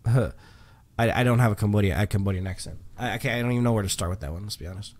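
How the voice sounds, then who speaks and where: A man's voice: fairly low pitch, very fast, darkish tone. A man hosting a livestream, chatting casually while reading patch notes, with lots of um fillers and restarts. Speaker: livestream host.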